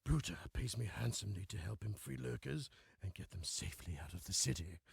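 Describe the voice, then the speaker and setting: Give the game a greedy old crime boss with a deeply creaky, very husky wheeze. He is oily and pompous, swinging his pitch as he schemes, and tags phrases with a questioning grunt. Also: animated, a little fast, no echo, clean studio sound, full character voice. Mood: scheming, smug. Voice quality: wheezy, gasping, gravelly. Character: greedy, sly, gluttonous older male crime boss. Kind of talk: video game cutscene acting.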